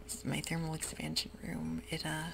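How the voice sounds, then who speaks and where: A woman whispering ASMR gameplay commentary in a soft, rambling way with many ums. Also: sits low, monotone, fairly quick, creaky, background noise. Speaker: gaming ASMR host.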